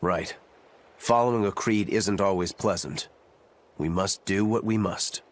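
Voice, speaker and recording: A man's voice: low voice, a little slow. A man playing a wry, easygoing tough fighter in a fantasy video game, talking casually. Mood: resolute.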